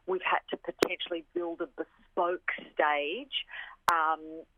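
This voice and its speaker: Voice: mid-range voice, little pitch movement, slow, background noise. Arts spokesperson for radio interview, phone-quality sound, keen explainer who stresses points by repeating. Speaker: orchestra representative being interviewed.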